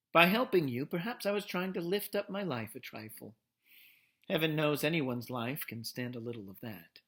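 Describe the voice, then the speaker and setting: A wise, gentle spider woman who talks softly and steadily, as a man reading aloud puts her on. She soothes and calmly scolds, her voice lower and quieter than the storyteller's, in a storybook reading. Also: slightly rough. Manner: soft, calm, gently scolding. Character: wise, calm female spider, gentle and dying.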